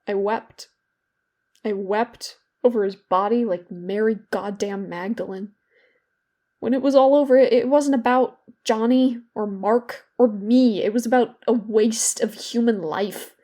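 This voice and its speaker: A woman's voice: medium pitch, warm tone, lightly acted. A young woman playing a guilt-ridden, bitter therapy patient in a confessional, self-loathing audio drama monologue. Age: young adult.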